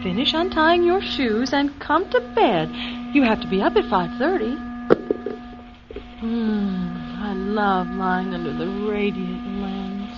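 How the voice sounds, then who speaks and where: A woman playing an excitable, trusting young wife in a vintage radio drama, expressive and easily flustered. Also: mid-range voice, slow pace. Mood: coaxing, relaxed. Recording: muffled vintage radio recording, music behind.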